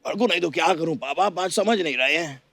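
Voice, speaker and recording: A man's voice: a little high, quick pace, creaky. A man playing an overgrown, obedient son as a melodramatic film-hero parody in a stage sketch. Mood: exasperated. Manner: melodramatic, forceful shouting delivery.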